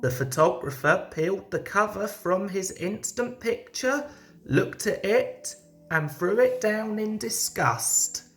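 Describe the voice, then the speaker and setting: A woman narrating a scripted children's story as an expressive read-aloud. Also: mid-range voice, slow, faint music behind. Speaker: storybook reader.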